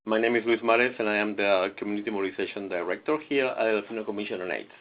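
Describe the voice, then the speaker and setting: A man's voice: on the low side, racing, a little hiss or hum. A man hosting and introducing a webinar, stumbling a little with fillers. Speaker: webinar host. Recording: lo-fi webinar audio.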